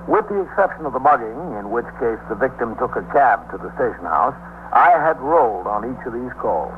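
A man's voice: mid-range voice, sing-song, fairly quick, lightly acted. An actor playing an authoritative, matter-of-fact male police captain in a 1950s radio police drama, speaking in a clipped way. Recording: muffled narrowband 1950s radio, faint hum.

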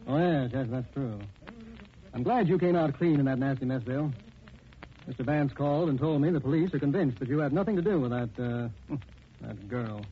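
A man's voice: medium pitch, moderate pace, natural voice. An actor playing a wealthy, outwardly genial but easily rattled middle-aged father with a guilty secret, in blustery 1940s radio style. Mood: genial, brisk. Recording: muffled, noisy 1940s radio recording.

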